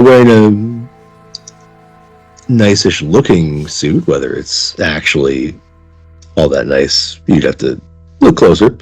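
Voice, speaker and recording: A man running a tabletop game, improvising narration in a halting, conversational way. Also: sits low, slightly rough. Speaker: game master. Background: faint music.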